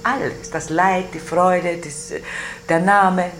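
A woman's voice: lowish voice, fairly even intonation, slow, slight background noise. An older woman talking candidly in an interview, emphatic, setting down short weighted phrases one by one. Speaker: interviewee, retired performer.

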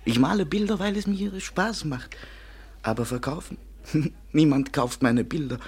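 An actor playing a lovesick, self-pitying French waiter with theatrical, mournful delivery in a 1950s German radio play. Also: male voice, a little high, measured pace, a little mellow, husky. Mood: dejected. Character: lovesick, melodramatic French waiter and hobby painter, a man.

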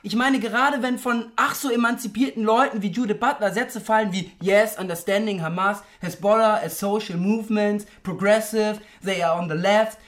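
A woman's voice: mid-range voice, fairly even intonation, moderate pace, hardly any pauses, close to an everyday voice. An actress playing an irritated woman radio reader, bossy and impatient, in a conversational radio drama style. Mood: sarcastic.

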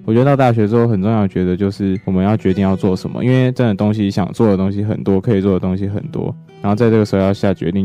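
Young man, Taiwanese accent, student guest, deep, fast-paced, casual, faint guitar behind. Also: dark tone. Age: young adult. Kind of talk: casual interview answers.

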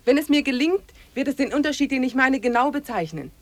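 Radio play female lead: level-headed, determined wanderer, slightly high pitch, plain-spoken, dry studio sound. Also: expressive, fast, no room sound. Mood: earnest. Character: practical, resolute woman hiker and painter. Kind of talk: 1950s German radio drama acting.

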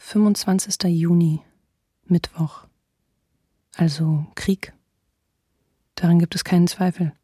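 A bleak young woman reads her war diary in a radio drama. The voice is low, dark and breathy, hushed and resigned, with very little melody. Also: steady tone, takes it slowly, long pauses, dry recording, studio quality. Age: 20s. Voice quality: husky, breathy. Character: bleak, resigned female graphic artist of twenty-eight, diarist.

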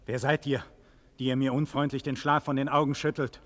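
A man's voice: mid-range voice, level tone, fairly quick, lightly acted. An actor playing a proud, defiant condemned nobleman, indignant and bitter, declaiming in formal theatrical style in a classic German radio play. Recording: long reverberant hall, radio drama production.

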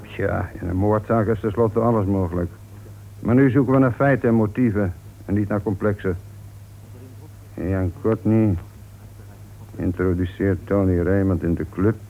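An actor playing a composed, dryly polite police inspector in a radio play, measured and insistent in his questioning. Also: sits low. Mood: pensive, sceptical. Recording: tinny, noisy old radio play recording.

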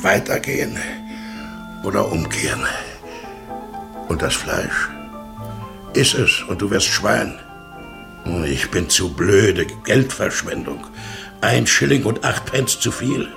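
A creaky, evasive, flustered married man. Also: lowish voice, animated, slow, pauses often, music in the background, heavily acted. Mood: muttering. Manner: hesitant, stammering, wheedling. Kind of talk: German radio drama acting.

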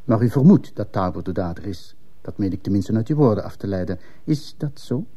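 An actor playing a stern, probing male police commissioner in a radio play, questioning in a formal, measured manner. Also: lowish voice, lively intonation, warm tone. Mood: stern, pressing. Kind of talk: Dutch-language radio drama acting.

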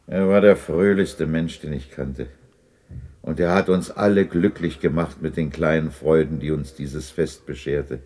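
An actor playing a regretful old miser in a radio play, looking back with a wistful, emotional delivery. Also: male voice, extremely low pitch, fairly even intonation, a little slow, darkish tone. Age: older. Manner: wistful, heavy-hearted. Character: regretful old miser revisiting his past. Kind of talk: 1960s German radio play acting.

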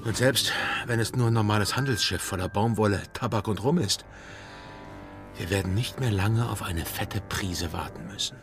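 An actor playing a confident, protective, roguish pirate in a German radio drama. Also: male voice, fairly low pitch, normal speed, soft background music, lightly acted. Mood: confident, teasing.

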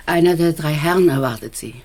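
A woman playing a correct, discreet clinic secretary in radio drama dialogue, speaking in a crisply formal way. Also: low voice, brisk, darkish tone, a little hiss or hum.

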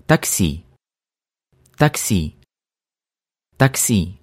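Slow, lively-voiced male language teacher. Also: sits low. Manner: slow, clear repetition of phrases for learners. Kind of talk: scripted language lesson narration.

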